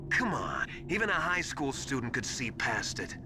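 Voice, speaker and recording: A man's voice: mid-range voice, huge pitch swings, normal speed, noisy background. A man voicing an earnest, suspicious military adviser in a video game, confiding and emphatic. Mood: urgent, suspicious. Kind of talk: dramatic video game voice acting.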